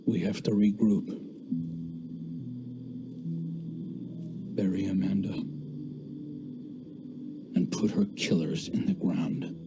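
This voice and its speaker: Grim game commander out for revenge, low voice, slow and measured. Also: male voice, fairly even intonation. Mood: grim resolve. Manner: terse, measured, brooding. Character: grim, vengeful low-voiced male military leader. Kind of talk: dramatic game cutscene acting. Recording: clear music behind the voice.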